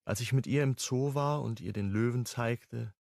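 Man playing a wry sleuth narrating a radio crime play, gravelly and husky, understated, close dry sound. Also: mid-range voice, normal speed, a little mellow, no echo, natural voice. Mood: pensive. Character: reflective, wry male amateur sleuth narrating his story. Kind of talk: first-person radio crime drama narration.

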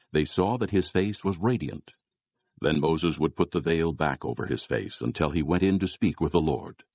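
A man narrating scripture as a scripted dramatized audio Bible, with a gravelly, husky voice and measured, solemn storytelling. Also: extremely low pitch, a little mellow, no room sound.

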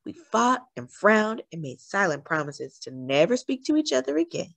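A woman reading a children's picture book aloud expressively, with dramatic, deliberate phrasing. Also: mid-range voice, sing-song, creaky, fairly bright tone, no echo. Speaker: story reader, host of a read-aloud channel.

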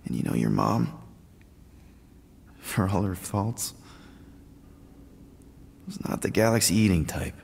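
An actor playing a gentle, awkward man in a video game, speaking haltingly and earnestly to reassure. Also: sits low, big pitch swings, exaggerated voice. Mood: earnest, firm. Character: gentle, awkward man consoling a grieving child. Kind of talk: naturalistic video game cutscene acting. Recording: long reverb, clear background noise.

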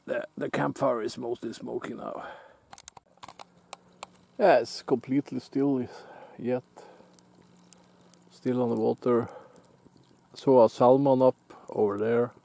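A Norwegian man speaking English with a Norwegian accent, chatting in a relaxed, halting way while fishing alone by a lake, picked up by a camera on the shore. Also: mid-range voice, gravelly. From Norway. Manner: relaxed, musing, halting with restarts. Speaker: outdoor vlogger. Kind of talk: casual self-filmed commentary. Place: lakeside outdoors, off camera.

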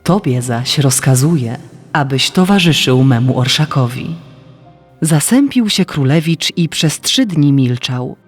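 A man's voice: a little high, darkish tone. A man narrating a scripted fairy tale for children as a storyteller.

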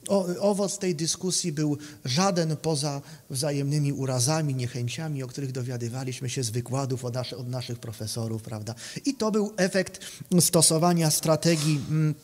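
Halting male lecturer in an echoing hall. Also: fairly high pitch, darkish tone. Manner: halting, repeats words, often tags phrases with a questioning check. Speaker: academic philosophy lecturer. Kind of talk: conference lecture, partly improvised. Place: conference room, indoors. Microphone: microphone on a stand at a lectern. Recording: reverberant hall sound.

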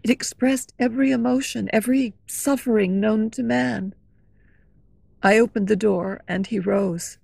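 A frightened young woman recounts her ordeal earnestly in a slow, slightly high, gravelly voice, as a reader takes her part. The storybook recording is close and dry. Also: darkish tone, no room sound, heavily acted. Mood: recounting. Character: young female singer, frightened, recounting a horror.

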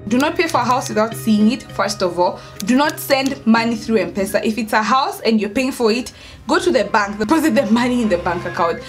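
A young woman with a Kenyan accent talks casually to her viewers. Her voice is fairly high and her delivery is emphatic, with words repeated for stress. Music plays clearly behind her. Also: animated, a little fast. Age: young adult. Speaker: vlogger, storyteller. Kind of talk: casual storytime chat to camera.

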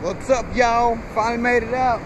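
A man talking casually while fishing on a windy beach, relaxed and joking. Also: very high-pitched, moderate pace. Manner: laid-back, chatty, joking. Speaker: fishing vlogger. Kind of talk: casual outdoor vlog.

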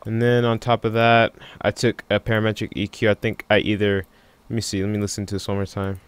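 A man giving a casual software tutorial in an offhand, unscripted way, thinking aloud as he works. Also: lowish voice, monotone, a little fast, smooth. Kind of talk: casual screen-recording tutorial.

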